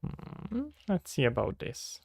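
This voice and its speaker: A man's voice: sits high, huge pitch swings, slow pace, gravelly, a little mellow, no room sound, studio quality. A Czech-accented man streaming game development, thinking aloud and answering chat questions casually. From Czech Republic. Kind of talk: casual live-stream chat while working.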